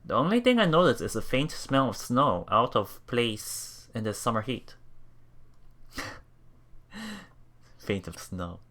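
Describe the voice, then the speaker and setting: Casting: excitable let's play streamer, bright voice, very animated intonation, casual read-aloud narration. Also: mid-range voice, slow, long pauses. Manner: excitable, repeats words and phrases. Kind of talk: reading game text aloud with casual reactions.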